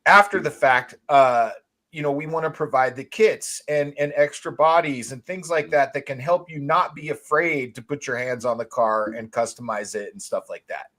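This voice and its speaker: A man's voice: medium pitch, moderate pace, a bit bright. A man with a mid-range voice talks as a guest on a casual show. He explains a product he makes in plain, conversational terms.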